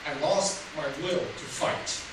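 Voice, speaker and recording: An older man from Taiwan, Taiwanese his first language, tells his life story in a speech and laughs at his own jokes. His voice sits fairly high. He is heard from far back in a very echoey hall, with steady noise behind him. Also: slow pace. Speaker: public speaker. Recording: roomy hall from far off, noisy.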